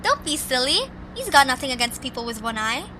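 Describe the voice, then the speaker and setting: A woman voicing a headstrong, earnest and excitable young girl creature in an amateur cartoon audio drama. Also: sits high, brisk, a little hiss or hum, exaggerated voice. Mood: reassuring, indignant.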